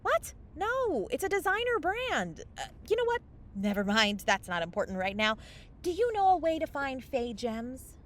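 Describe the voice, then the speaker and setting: Casting: flighty young fairy, high animated voice, casual and evasive, fantasy comedy audio drama. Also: female voice, moderate pace. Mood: eager. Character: flighty, sheepish young female fairy who loses magic gems.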